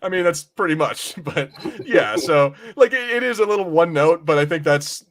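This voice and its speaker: A male podcast co-host with a voice a touch higher than most. He talks casually and haltingly about pop culture, swearing freely as he works through his point. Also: very animated, a bit bright.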